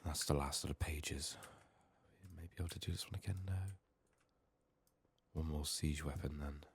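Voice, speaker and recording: A man with an extremely deep voice plays a game and comments in a hushed ASMR style, slipping between soft speech and whispers. He mutters short remarks to himself, as if thinking aloud. Keyboard typing and mouse clicks are clearly audible behind him. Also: fairly even intonation, moderate pace, slight background noise. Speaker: game streamer. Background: computer keyboard typing and clicks.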